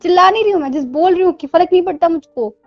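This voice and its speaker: A woman's voice: very high-pitched, fast, dry recording. A young woman playing a jealous, defensive best friend with snappy, sulky denials in a phone-call drama. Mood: angry, defensive. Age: young adult. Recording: muffled lo-fi audio under music.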